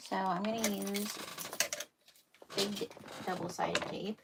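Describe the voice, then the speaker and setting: A woman's voice: mid-range voice, steady tone, takes it slowly, gravelly, heavy background noise. A woman with a Dutchy accent, chatting casually and poking fun at herself while she demonstrates sewing at home. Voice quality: chatty, self-deprecating, talks while working. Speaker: sewing livestream host. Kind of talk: casual sewing demo chat.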